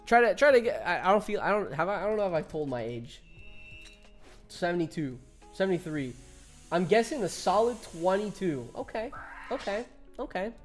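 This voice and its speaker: A man's voice: high voice, sing-song, normal speed, soft background music. Chatty young man streaming a game. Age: 20s. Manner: chatty, laughs often, reads chat aloud. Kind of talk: casual live-stream chat with viewers.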